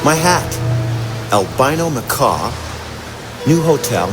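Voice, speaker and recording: A man giving a measured, deliberate spoken-word poetry reading. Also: mid-range voice, very animated. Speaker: poetry reader. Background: loud music soundscape.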